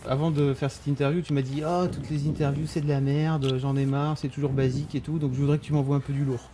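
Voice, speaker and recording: Male interviewer, playful and provoking; a touch high-pitched; faint noise behind. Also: little pitch movement, fast, mellow. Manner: teasing, provoking with rumors. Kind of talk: casual interview chat.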